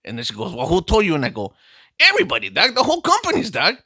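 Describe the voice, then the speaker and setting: A man's voice: mid-range voice, very animated, rapid-fire, dry recording, studio quality. A man playing a boastful, heartbroken streetwise vato, telling a comic story in slangy talk. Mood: swaggering. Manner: slangy, calls listener "dog" constantly, boastful storytelling. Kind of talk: comic character monologue.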